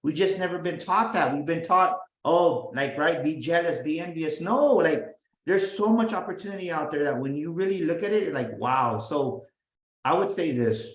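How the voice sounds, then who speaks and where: A man talking as a guest business coach, energetic and emphatic, often restarting and repeating his words. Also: fairly high pitch, moderate pace. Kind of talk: motivational interview talk. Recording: roomy echo.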